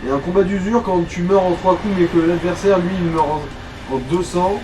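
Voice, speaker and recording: A man's voice: high-pitched, steady tone, moderate pace, distant mic, background noise. A man giving casual commentary as he watches someone else play a video game.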